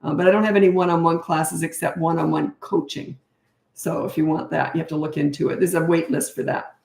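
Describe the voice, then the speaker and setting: A middle-aged woman coaching parents on a casual livestream, giving blunt, practical advice with chatty asides while her voice grows slightly hoarse. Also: low-pitched, little pitch movement, fairly quick, a little mellow, reverberant.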